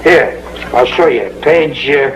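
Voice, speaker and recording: A man's voice: medium pitch, takes it slowly, close to an everyday voice. An actor playing a blustering, stubborn middle-aged American father who stammers and fancies himself a handyman in a 1950s television sitcom. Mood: stubborn, instructing. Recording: muffled, noisy old 1950s television soundtrack.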